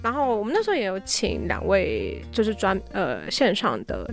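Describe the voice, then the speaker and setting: A woman's voice: mid-range voice, wildly swooping pitch, moderate pace, creaky, soft background music. A woman with a Taiwanese Mandarin accent being interviewed casually, often restarting and correcting her phrases as she explains. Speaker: interview guest, founder of an audio drama app. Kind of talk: casual interview, recounting experiences.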